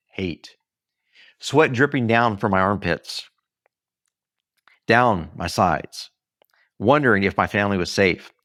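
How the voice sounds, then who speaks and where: Deep-voiced American man reading dramatically. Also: fairly bright tone, no echo, clean studio sound. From Texas, United States. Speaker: author reading own book. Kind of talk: scripted audiobook-style narration of a memoir.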